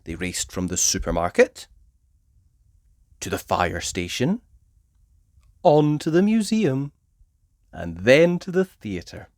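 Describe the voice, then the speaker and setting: A woman reading a children's story aloud with expressive, emphatic narration. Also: very deep voice, slow pace, long pauses, no echo, studio quality. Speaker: storybook reader.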